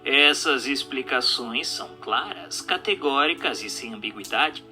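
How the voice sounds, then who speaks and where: Very bright-voiced, animated male narrator. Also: mid-range voice, unhurried. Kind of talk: scripted dramatized narration. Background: music.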